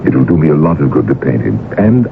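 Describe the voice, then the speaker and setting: An actor playing a sly gentleman portrait painter, speaking in an urbane, wry, deliberate way in a radio drama. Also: rumbling low, very animated, vocal fry, full character voice. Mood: sly, charming. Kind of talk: 1980s radio drama acting. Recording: tinny, noisy old radio broadcast recording.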